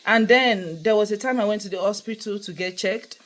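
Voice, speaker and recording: A woman's voice: mid-range voice, fairly quick, a bit bright. Earnest Nigerian woman telling a story. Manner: earnest, emphatic, repeats herself for stress. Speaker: vlogger. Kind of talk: casual storytelling monologue.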